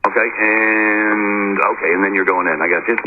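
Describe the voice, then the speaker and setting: Fast deep-voiced guy, air traffic controller, clipped talk on a tinny radio. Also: fairly even intonation. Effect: aviation radio. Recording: noisy, narrow, clicking aviation radio transmission.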